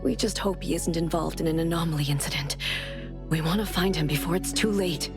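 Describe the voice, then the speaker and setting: A woman's voice: low voice, brisk. An actress playing a worried mother of a missing boy in a video game cutscene, earnest, pleading and apologetic. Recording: background music under the voice.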